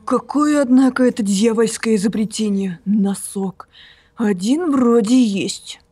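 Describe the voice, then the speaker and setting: A woman's voice: on the high side, a little slow, mellow, slightly rough, soft background music. A woman voicing a grumpy, reluctant hero. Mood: grumbling. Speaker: voice actor for the hero. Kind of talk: amateur visual novel voice-over.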